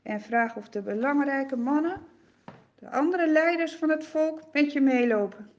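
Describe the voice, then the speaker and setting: A woman voicing a patient, calm divine figure who gently gives instructions, in a dull lo-fi recording. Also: high voice, unhurried. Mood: calm instructing.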